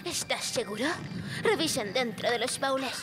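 A woman's voice: sits high, lively intonation, moderate pace. A woman acting a pious, indignant merchant ship leader, formal and theatrical, in a radio play. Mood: solemn. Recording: music under the voice.

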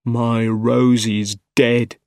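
A man's voice: mid-range voice, steady tone, slow, dry recording, studio quality, lightly acted. A man voicing a brooding, obsessive scientist villain in a storybook, with heavy, dramatic delivery. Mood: grieving.

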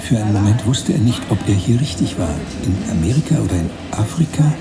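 A deep-voiced, brooding novel narrator. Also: male voice, expressive, fairly quick. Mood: observant, reflective. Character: brooding male narrator voicing passages of a novel. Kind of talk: radio play literary narration. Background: prominent music.

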